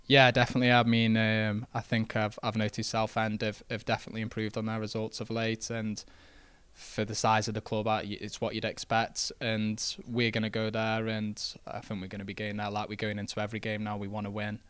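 A young man, a footballer answering post-match interview questions in a relaxed, modest way, with many fillers and restarts. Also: fairly low pitch, fairly quick, smooth, no echo. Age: young adult. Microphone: handheld interview mic.

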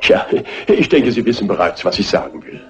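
An actor playing an eccentric, horse-loving older gentleman, courtly and chatty, in a dubbed crime film. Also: fairly low pitch, sing-song, a little fast. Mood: courtly, earnest. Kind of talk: 1960s crime film dub. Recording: muffled husky old film soundtrack with music.

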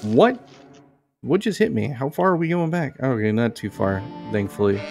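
A man's voice: mid-range voice, very animated, normal speed, creaky. A man giving casual video game commentary, rambling and thinking aloud as he plays. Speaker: gaming video host. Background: game music.

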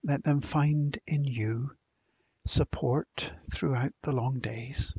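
Slow, slightly husky man leading prayer. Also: medium pitch, steady tone, darkish tone, lo-fi sound. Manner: solemn, measured phrasing. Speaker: prayer leader. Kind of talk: scripted spoken prayer.

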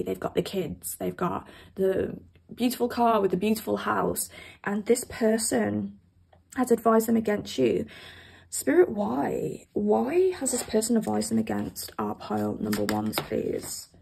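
A woman gives a chatty tarot reading. Her voice is croaky after a cold, with a gravelly, husky edge. She talks straight to her viewers. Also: mid-range voice, darkish tone. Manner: chatty, addresses viewers directly. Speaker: tarot reader. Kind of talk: pick-a-card tarot reading. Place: indoors at a table with cards and candles.

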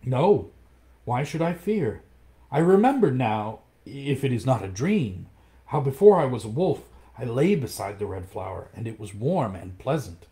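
A man voicing a bold, defiant boy raised by wolves, speaking loud and high. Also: big pitch swings, measured pace.

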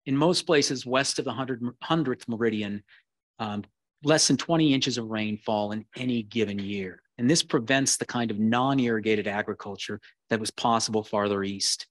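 Man giving a measured history lecture. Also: medium pitch, dry recording. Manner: measured, with occasional restarts. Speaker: keynote speaker.

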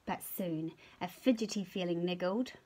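A middle-aged woman reads a children's story expressively, with playful drawn-out emphasis and hushed moments. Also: fairly low pitch. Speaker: picture-book reader. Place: small furnished room at home.

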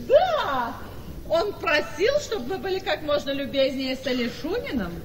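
An actress playing a doting, excitable aunt in a period radio comedy, with drawn-out, effusive exclamations. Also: female voice, high voice, exaggerated voice. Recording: noisy echoey hall, distant from mic.

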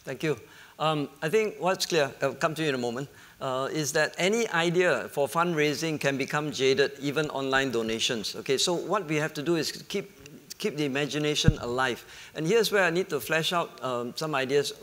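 Animated middle-aged male moderator. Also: a little high, normal speed. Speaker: panel moderator. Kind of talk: moderating a panel discussion. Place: conference hall stage.

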